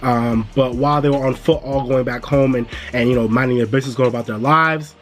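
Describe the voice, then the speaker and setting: A man's voice: medium pitch, fairly even intonation, a little fast, fairly bright tone. A man hosting a casual review vlog, laid-back and chatty, calling his viewers "y'all". He sounds a bit stuffy because he is coming down with a cold. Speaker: music and entertainment YouTuber.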